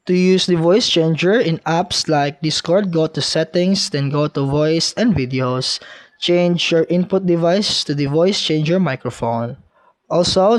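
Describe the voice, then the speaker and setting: A man narrating a scripted software tutorial, giving simple step-by-step instructions. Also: fairly high pitch, no room sound.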